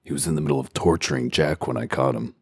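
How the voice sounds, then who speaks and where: A man voicing a gruff, sardonic werewolf detective in a comic dub, with a growling, commanding delivery. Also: quick pace, a little mellow, no room sound. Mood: dry sarcasm. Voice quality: very deep, gravelly growl. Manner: dry, sarcastic, commanding.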